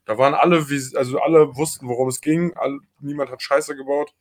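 A man's voice: mid-range voice, fairly even intonation, brisk, darkish tone. A man co-hosting a casual gaming podcast, talking in a blunt, slangy, jokey way. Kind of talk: casual chat about video games.

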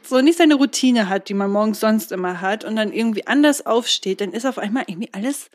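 A woman's voice: mid-range voice, lively intonation, brisk. Chatty giggly young woman. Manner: chatty, laughs often, rambling storyteller. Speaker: podcast co-host. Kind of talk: casual chat.

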